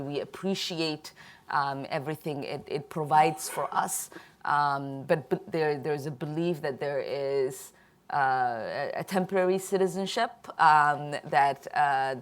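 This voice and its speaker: A woman in her thirties from Somalia, answering on a panel in a halting way, often repeating words and filling pauses. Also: sits low. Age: 30s.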